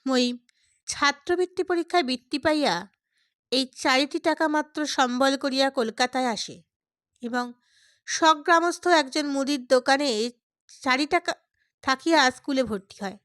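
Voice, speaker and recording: A woman's voice: high-pitched, moderate pace, long pauses, a bit bright, no echo, clean studio sound. A woman reading a literary story aloud as scripted narration. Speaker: audiobook narrator.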